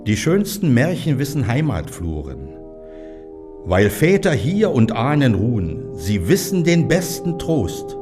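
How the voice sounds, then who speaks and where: Man declaiming poetry over music. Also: medium pitch. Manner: slow, expressive declamation. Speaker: poetry reciter. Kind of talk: recited poetry. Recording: voice over clear instrumental music.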